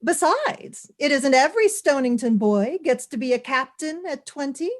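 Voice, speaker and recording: A woman playing a calm, sensible mother of a young seafarer in an old-time radio play. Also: high-pitched, moderate pace. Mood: soothing, firm. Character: calm, sensible New England sea captain's mother.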